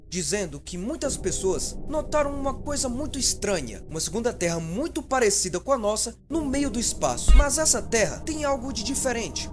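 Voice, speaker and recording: A Brazilian man tells a scary story with a very animated, swinging delivery. His scripted narration is dramatic yet informal, dropping into slangy asides, with clear background music underneath. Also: very high-pitched. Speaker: YouTube horror narrator.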